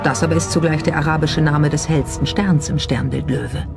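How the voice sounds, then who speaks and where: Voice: mid-range voice, steady tone, moderate pace, vocal fry. A narrator reading a scripted documentary, presenting it as the narrator of the piece. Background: music behind the voice.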